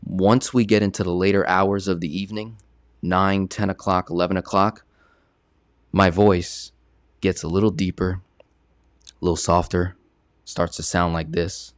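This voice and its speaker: A man's voice: sits low, little pitch movement, unhurried, long pauses, no room sound, clean studio sound. A man giving a casual online presentation as a personal finance coach, talking conversationally and often checking in with "right?". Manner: conversational, keeps asking "right?".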